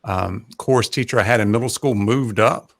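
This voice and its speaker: A man, chatting casually as a guest and pausing often with fillers. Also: lowish voice, no room sound, studio quality. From North Carolina. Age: 40s. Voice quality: soothing, gravelly. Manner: relaxed, hesitant, many fillers. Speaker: guest, high school math teacher. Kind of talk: casual livestream chat. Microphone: home podcast mic.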